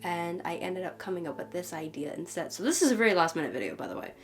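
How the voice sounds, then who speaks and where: A woman's voice: on the low side, animated, fast talker. A woman vlogger with a cold and a stuffed-up nose. She sounds nasal as she chats and rambles through her phone setup, often correcting herself. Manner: rambling, talkative, self-correcting. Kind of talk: casual chatty show-and-tell. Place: home, humidifier running.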